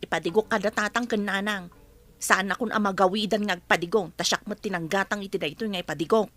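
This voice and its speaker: A woman's voice: medium pitch, fairly even intonation, fast talker, a bit bright, dry recording, natural voice. An actress playing an exasperated, principled wife who stands up for her family, in an Ilocano radio drama. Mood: explaining.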